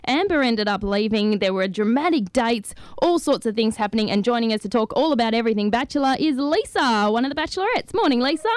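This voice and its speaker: A woman hosting a breakfast radio show, quick and teasing in a morning chat. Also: on the high side, animated, no room sound.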